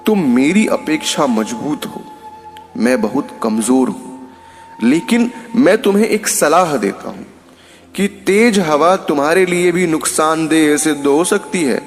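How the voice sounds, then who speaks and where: A man voicing a humble, patient bamboo tree in a storybook moral tale, speaking in a measured, advising way. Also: on the high side, lively intonation, big-room sound, faint music behind, pitched above the performer's own voice.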